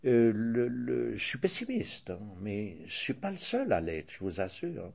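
An older man with a Swiss French accent answering interview questions in a measured, hesitant way, often restarting and correcting himself. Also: mid-range voice, big pitch swings, vocal fry, a little mellow, slightly rough.

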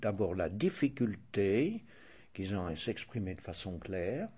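Older Swiss man, low husky voice. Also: very animated, darkish tone. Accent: Swiss French. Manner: measured, hesitant, restarts and self-corrects. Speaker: interviewee. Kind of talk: casual interview answers.

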